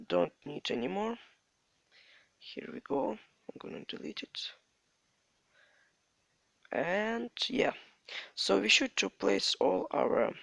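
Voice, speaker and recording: A Ukrainian-accented man with a native Ukrainian speaker's halting English, walking through a game tutorial. His voice is heavily gravelly and fried, bright and sharp in tone, and swings widely in pitch. He speaks slowly, in a dry, close sound. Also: no echo. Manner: halting non-native English, repeats stock phrases. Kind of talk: step-by-step gameplay tutorial narration.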